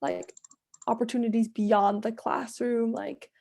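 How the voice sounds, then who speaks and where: A woman's voice: a little high, animated, unhurried, dark tone. A woman in her twenties answering casually as a student panellist, conversational and peppering her sentences with filler words. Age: 20s. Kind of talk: casual webinar interview answers.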